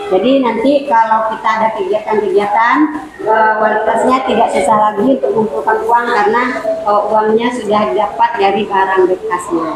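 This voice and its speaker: A woman teacher moderating a school meeting, explaining steadily and checking agreement with short questions. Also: fairly high pitch. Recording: echoey room with loud background noise.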